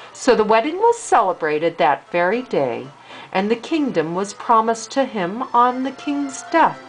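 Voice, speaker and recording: Older lady reading a storybook to a kid, high voice that goes up and down, bit of music behind. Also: poor audio. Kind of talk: read-aloud fairy tale narration.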